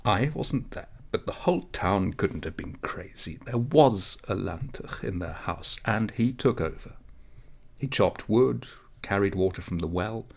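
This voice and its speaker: Male reader voicing old village aunt, storybook narration, low gravelly tone, emphatic, knowing, folksy. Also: a little mellow, full character voice. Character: old village aunt telling uncanny tales.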